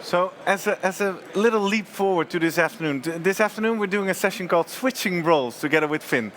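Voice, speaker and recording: A man's voice: high-pitched, quick pace, a bit bright. A man hosting a live interview in a conference studio, chatty and joking, laughing often and talking in restarts.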